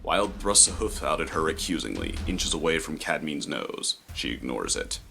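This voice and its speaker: Very deep, bright-toned male narrator. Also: big pitch swings, moderate pace, vocal fry, faint music behind. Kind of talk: scripted narration of stage action.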